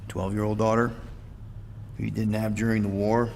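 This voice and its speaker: A man's voice: fairly low pitch, flat delivery, moderate pace, gravelly, a little mellow, slight background noise. An actor playing a glib, wisecracking male space adventurer in a cinematic video game, given to joking and rambling. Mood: wry. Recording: music behind the voice.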